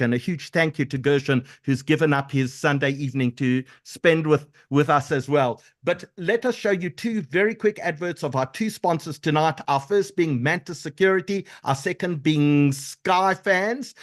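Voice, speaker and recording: Chatty man hosting a video call webinar. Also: a little high. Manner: chatty, thanks sponsors, repeats words. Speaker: webinar host. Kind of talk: hosting a live webinar interview.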